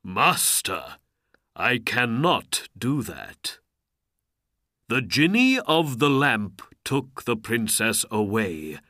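A narrator as a slow, solemn, booming genie. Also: pushed and bright, dry recording, clean studio sound, full character voice. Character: solemn, obedient male genie servant of magic.

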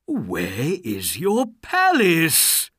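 A narrator voicing an angry, imperious sultan making loud, threatening demands in a storybook. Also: mid-range voice, huge pitch swings, extremely slow, slightly rough, no room sound, studio quality, pitched above the performer's own voice, heavily acted. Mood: furious.

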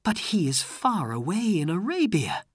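Narrator as loving young princess, very high-pitched. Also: sing-song, a little slow, husky, no echo, studio quality. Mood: astonished.

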